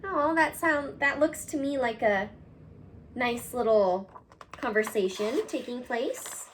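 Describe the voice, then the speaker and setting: A woman reading a picture book aloud to children, expressive and cutesy, talking directly to her young listeners. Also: on the high side, takes it slowly, slight background noise. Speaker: read-aloud host, storyteller for children.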